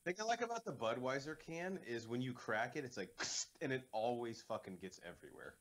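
A man's voice: mid-range voice, big pitch swings, brisk, fairly bright tone. A man co-hosting a casual movie commentary, joking, swearing, and slipping into mock voices. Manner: jokey, profane, acts out mock voices. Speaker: podcast co-host.

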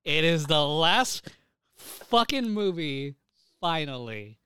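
A man's voice: on the high side, very animated, slow, pushed and bright, smooth. Profane, rambling young man podcasting. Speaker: podcast co-host. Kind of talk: casual anime news chat.

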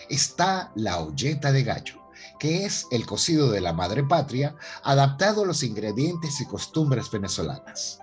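A man's voice: medium pitch, darkish tone. A man hosting and narrating a radio soap opera from a script in a theatrical presenter's manner. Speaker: host and narrator.

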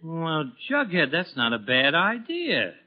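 A flustered middle-aged sitcom father, pitched high for a man and swinging widely as he sputters theatrically. The sound is a dull, vintage 1940s radio comedy recording. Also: wildly swooping pitch, takes it slowly, exaggerated voice. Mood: grumbling. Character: exasperated, flustered sitcom father of a teenage boy.

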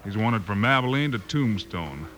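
Bright-voiced commanding Western lawman. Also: lowish voice, lively intonation, moderate pace, slight background noise. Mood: stern, explaining. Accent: American. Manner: firm, clipped authority. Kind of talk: 1950s radio western acting.

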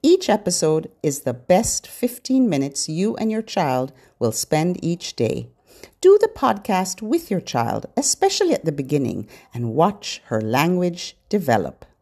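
A middle-aged or older woman hosting a children's podcast, giving scripted, expressive, instructional narration aimed at parents and babies. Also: fairly low pitch, unhurried.

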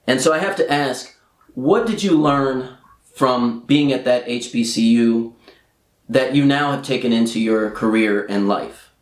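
A man's voice: mid-range voice, unhurried. A man, an actor hosting an interview, talking in an easygoing way and asking his guest questions. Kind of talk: conversational interview. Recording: roomy, echoey sound.

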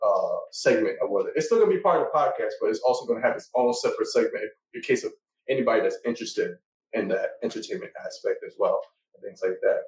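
A man hosting a casual podcast, talking in a relaxed way with many fillers. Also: on the low side, fast. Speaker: podcast host. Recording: echoey room, mic at a distance.

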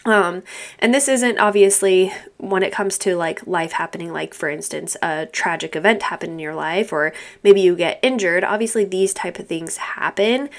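A woman's voice: lowish voice, moderate pace. A young woman with a stuffy, congested nose hosting her podcast in a chatty, rambling way, with frequent ums and little laughs. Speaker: podcast host. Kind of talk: casual solo podcast talk.

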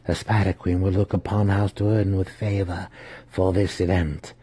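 A man voicing a proud, calculating female dark elf matriarch in a fantasy story. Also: sits low, level tone, normal speed, breathy edge. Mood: satisfied, proud.

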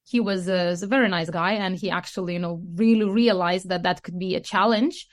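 A woman's voice: lowish voice, fairly even intonation, brisk. A woman from Lithuania with a Lithuanian accent, chatting casually as a podcast guest and explaining things at length, often checking in with "you know" and "right". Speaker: podcast guest, motorcycle rider. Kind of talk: casual interview chat.

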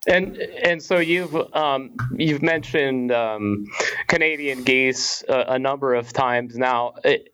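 American male host, mid-pitched, asks hesitant questions with a lot of fillers. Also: slow pace, fairly bright tone, smooth, rough recording. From United States. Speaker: podcast host. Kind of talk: casual interview chat.

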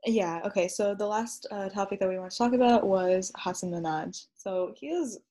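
A woman's voice: medium pitch, normal speed, warm tone. Young woman, from Pakistan, podcast co-host, casual storytelling, easy laughs. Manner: storytelling, laughs easily, heavy use of "like". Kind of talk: casual chat.

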